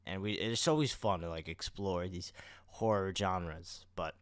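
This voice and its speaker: Young male podcast co-host, low clean voice, dry sound, chatty with announcer touches. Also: unhurried, clear, resonant tone, no room sound. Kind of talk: casual podcast chat.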